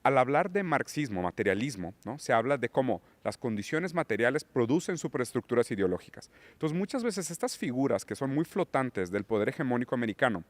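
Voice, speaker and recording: A man's voice: medium pitch, sing-song, brisk, hardly any pauses, dry recording. A man who is a guest on a political podcast, lecturing on theory and rising into emphatic points. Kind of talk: political conversation.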